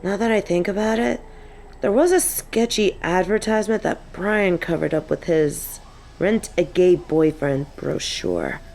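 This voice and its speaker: A young woman playing an excitable, sassy biker and machine nerd on a date in a romantic audio roleplay. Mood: sardonic, teasing. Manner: gushing and teasing, swinging between squeals and low deadpan. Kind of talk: romantic audio roleplay, scripted monologue to an unseen listener.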